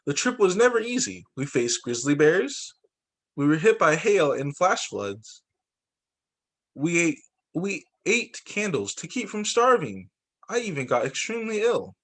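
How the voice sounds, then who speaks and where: A man reads a children's book aloud as a teacher would. His voice sits a bit high and moves with very animated intonation. He phrases things deliberately and expressively for young listeners. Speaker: teacher reading a picture book aloud to students. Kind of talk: expressive read-aloud storytelling.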